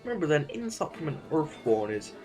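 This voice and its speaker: Over clear music, a man acts a lordly male sovereign in an audio drama. He is pompous and dismissive, with formal phrasing and animated rises and falls. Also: a little high, quick pace.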